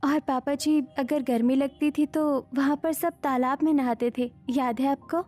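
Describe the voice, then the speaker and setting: A woman playing a young daughter-in-law in an animated family story, with expressive domestic melodrama. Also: high voice, moderate pace, natural voice. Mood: eager.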